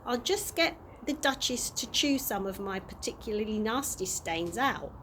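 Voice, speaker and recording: Storybook tramp, female reader: courteous, grandly self-pleased gentleman of the streets, high-set and animated, audible background noise. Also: normal speed. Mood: delighted, grand. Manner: polite, old-fashioned, grandly self-pleased. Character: cheerful, vain, courteous old male tramp. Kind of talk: storybook character reading.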